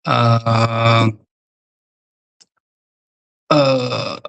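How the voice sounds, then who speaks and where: A Malaysian-accented man, a native Malay speaker, talks as a student in simple learner English. His delivery is slow and halting at an average pitch, with hesitant searching for words. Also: flat delivery, vocal fry, fairly bright tone. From Selangor, Malaysia.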